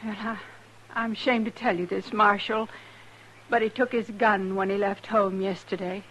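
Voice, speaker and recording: An actress playing a humble, desperate homesteader widow with pleading, rustic speech in an old radio drama. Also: female voice, mid-range voice, little pitch movement, normal speed, a bit bright, a little hiss or hum, natural voice, rough recording. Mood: worried, pleading. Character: anxious, humble, starving homesteader mother, recently widowed. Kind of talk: 1950s radio drama acting.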